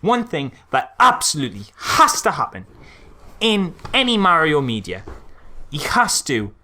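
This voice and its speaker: A British man talks through a film in a casual, comedic podcast style. His voice sits fairly high and is bright and punchy, with very animated intonation. He sounds exasperated and repeats phrases to hammer a point home. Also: unhurried.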